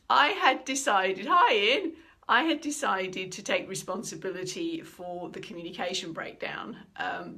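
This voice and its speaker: Middle-aged female trainer, animated and expressive, average pitch, teaches while acting out quoted lines. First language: English. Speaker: communication trainer. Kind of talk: instructional talk.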